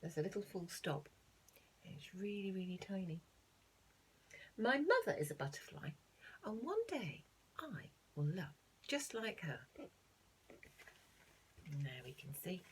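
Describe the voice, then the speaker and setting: A woman teacher reading a children's nature book aloud, expressively, pausing to explain things simply and put questions to young listeners. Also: medium pitch, very animated, slight background noise. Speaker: primary school teacher.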